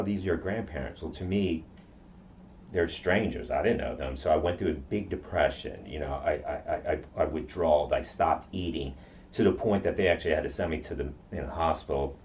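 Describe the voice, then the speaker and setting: Man from okinawa, japanese first language, low voice, keeps restarting, faint hiss behind. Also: a little mellow. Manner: halting, many restarts and fillers. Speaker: guest. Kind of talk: reflective interview storytelling.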